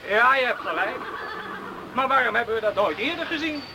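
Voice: medium pitch, expressive, a little fast, vocal fry, background noise. An actor playing a curious, down-to-earth teenage boy in a Dutch radio play, sceptical and bantering. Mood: sceptical, intrigued. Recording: radio play with loud outdoor ambience.

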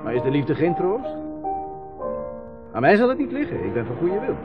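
A man's voice: a little high, sing-song, normal speed. An actor playing a self-mocking romantic loser who declaims grandly to his beloved in a 1970s radio drama. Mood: amorous, grandiose. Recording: slightly dull old radio recording. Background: prominent music.

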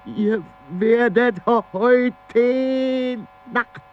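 An actor playing an agitated man in an experimental radio play, reciting in slow, broken phrases with wordplay. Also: extremely high pitch, soft background music, exaggerated voice. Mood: raving. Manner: drawn-out, fragmented, word-play delivery. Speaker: radio drama performer. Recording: dull broadcast tape with mains hum.

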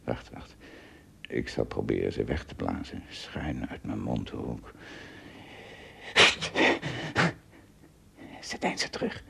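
An actor playing an English castaway adventurer in a radio drama, an earnest, fearful storyteller with a theatrical, halting delivery that moves between hushes and exclamations. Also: male voice, a bass voice, gravelly, breathy edge, in a whisper, heavily acted. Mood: astonished.

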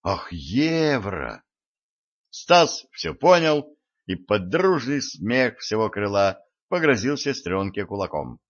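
A man narrates an audiobook in a steady middle-pitched voice, shading the dialogue a little for each character. The recording sounds dull and lo-fi.

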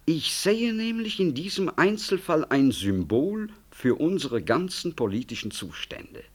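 An actor playing a smooth, self-important politician and doctor in a radio drama, evasive and pompous. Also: male voice, on the high side, very animated, unhurried. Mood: self-satisfied, grandiose. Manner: hedging, rhetorical, pompous. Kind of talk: 1960s German radio drama acting.

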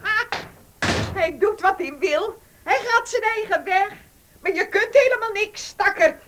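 Spiteful old aunt, animated nagging. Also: female voice, very high-pitched, a little slow, a little hiss or hum, exaggerated voice. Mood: mocking, indignant. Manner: nagging, scornful, mocking. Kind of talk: radio drama acting.

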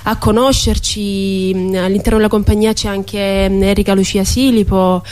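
A woman's voice: medium pitch, flat delivery, moderate pace, a little mellow. Earnest woman in a radio interview. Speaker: theatre performer, guest.

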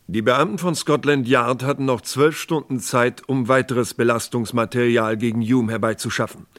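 A man narrating a scripted German radio crime drama in a measured, factual manner. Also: mid-range voice, steady tone, fairly bright tone.

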